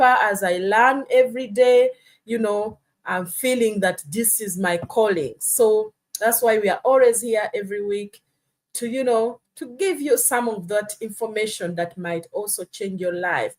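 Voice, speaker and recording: A woman from Uganda hosting a live stream, talking earnestly and often checking in with "hmm?" and "you know". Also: mid-range voice. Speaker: host. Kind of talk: casual live-stream talk.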